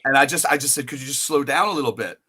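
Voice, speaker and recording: Man, American, podcast host, bright and forceful tone, rambling storyteller. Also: mid-range voice, racing, husky. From United States. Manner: rambling, storytelling with many restarts. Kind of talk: casual interview chat.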